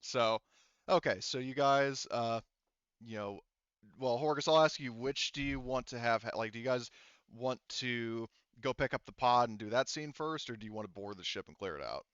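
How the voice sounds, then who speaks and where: A man's voice: medium pitch, normal speed, a bit bright, clear, resonant tone, no echo, highs cut off. A man running a tabletop game as game master, talking casually and explaining the rules, swearing now and then.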